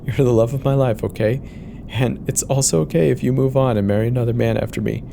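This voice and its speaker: A man's voice: medium pitch, steady tone, fast, creaky, background noise, voice pushed up, natural voice. A man playing an uneasy, cynical airline co-pilot in a horror audio drama, speaking in a tense, resigned way. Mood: tearful, tender. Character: uneasy, cynical male co-pilot in a failing plane.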